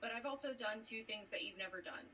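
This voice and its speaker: Bright-voiced woman, distant noisy mic. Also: mid-range voice, quick pace. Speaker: returning trivia competitor issuing a challenge. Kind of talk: casual spoken announcement. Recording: distant mic with faint noise.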